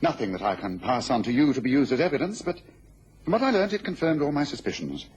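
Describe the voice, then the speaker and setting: An actor playing a keen, self-assured Victorian gentleman detective in a radio drama, brisk and emphatic, with a British accent and a husky voice. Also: mid-range voice, sing-song, a little hiss or hum, natural voice, rough recording. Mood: firm, certain.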